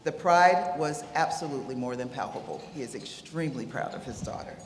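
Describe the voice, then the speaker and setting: A woman's voice: very deep voice, expressive, a little fast. A woman introducing panel guests and the moderator at an event, reading with small slips. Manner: warm, slightly fumbling introductions. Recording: echoey hall with background noise.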